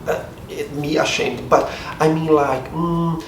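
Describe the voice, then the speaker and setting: A middle-aged man from Brazil with a Portuguese accent and a slightly hoarse voice, chatting upbeat to camera at home. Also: on the high side, steady tone, unhurried. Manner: chatty, upbeat, self-deprecating. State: a bit hoarse. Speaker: vlogger. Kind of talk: casual talk to camera. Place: home room with outside noise. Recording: roomy echo, distant mic, faint street noise.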